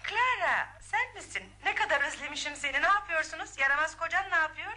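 A woman playing a chatty, fond aunt in a radio play, lively and talkative as she reminisces. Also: very high-pitched, quick pace, heavily acted. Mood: warm. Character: chatty middle-aged aunt, gossipy, fond. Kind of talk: 1970s Turkish radio drama acting. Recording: old radio broadcast with music.

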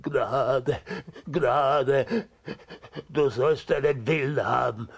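A man playing a blustering, pleading green ogre in a children's radio play, with a husky, creaky voice. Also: mid-range voice, drawn-out words, full character voice. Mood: begging, defeated.

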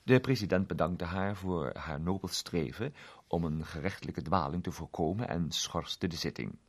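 A male narrator reads a story in a steady, measured way. His voice is low and husky. His intonation rises and falls in a lively way.

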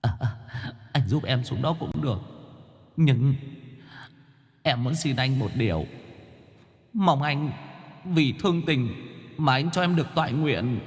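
A man voicing a fawning young male ghost who whines, stammers and pleads in a high, soft voice. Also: lively intonation, unhurried, pauses often, in a large hall. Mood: pleading. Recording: faint background music.

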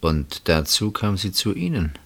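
Agitated, suspicious husband in radio drama; insistent interrogator, low-set voice that leaps widely in pitch. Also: male voice, big pitch swings, unhurried, fairly bright tone, husky, full character voice. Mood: bitter, probing. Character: suspicious, agitated husband searching for his missing wife. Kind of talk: German radio play acting.

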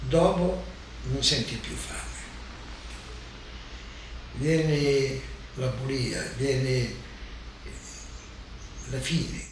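A man giving spontaneous survivor testimony in halting, broken phrases. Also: mid-range voice. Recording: very echoey, distant, noisy interview.

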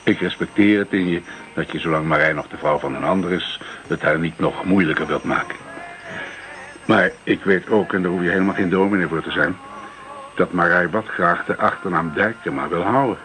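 An actor playing an avuncular older village pastor, paternal and knowing, in a Dutch radio drama. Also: male voice, deep voice, brisk, sharp tone, faint music behind. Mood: reassuring, knowing.

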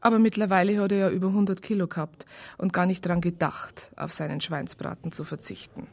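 A Bavarian storyteller in a radio crime play. Her voice sits a little low, and she recounts things colloquially and drily, taking her time. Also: little pitch movement, darkish tone. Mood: wry. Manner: wry, colloquial, unhurried. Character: wry female storyteller recounting police case files. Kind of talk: radio drama narration.

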